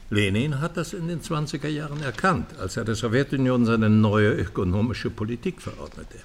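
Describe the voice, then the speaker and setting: An older man playing a charming, bold professor emeritus in a German radio play, speaking in a measured, lecturing, ironic way. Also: on the low side, gravelly, a little hiss or hum.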